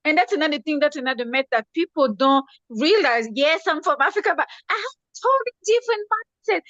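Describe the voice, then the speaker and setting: A woman's voice: sits high, animated, brisk, fairly bright tone, no echo, highs cut off. A woman from the Congo, hosting a talk show and chatting enthusiastically, with many hesitations. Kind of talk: casual talk-show chat.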